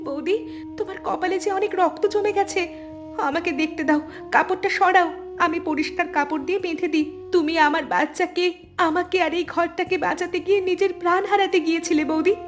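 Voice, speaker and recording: A woman's voice: extremely high pitch, fairly even intonation, brisk, over music. A woman playing a young wife and mother in a cartoon melodrama, anxious, hurt and pleading. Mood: tearful, remorseful. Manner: emotional, pleading.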